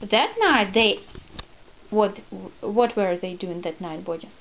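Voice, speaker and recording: A woman reading a picture book aloud to her young child, expressive and instructive, pausing to prompt with questions. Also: mid-range voice. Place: at a wooden table indoors at home.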